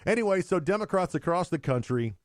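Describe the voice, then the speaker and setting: A middle-aged man co-hosting a podcast in casual, joking, sarcastic chat, telling stories with animated delivery. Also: high voice, quick pace, no room sound, clean studio sound. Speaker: podcast co-host.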